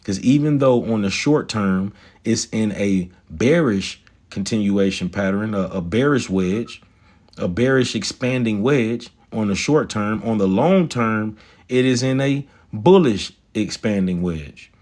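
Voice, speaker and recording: Swaggering low-voiced man talking stocks. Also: a little slow, darkish tone. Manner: swaggering, profane, slangy, talks to chat. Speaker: stock market livestreamer. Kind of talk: casual livestream chat about stocks.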